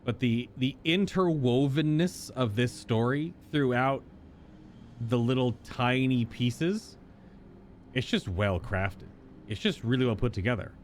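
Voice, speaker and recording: A man streaming a game with casual live commentary, thinking aloud in a drawn-out, emphatic way. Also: mid-range voice, lively intonation, slight background noise.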